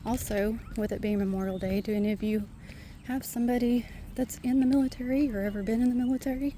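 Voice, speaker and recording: Soft-spoken woman chatting on a walk. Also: a little high, steady tone, quick pace, slight background noise. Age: adult with a son. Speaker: vlogger. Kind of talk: casual walking vlog chat. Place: outdoors in a park.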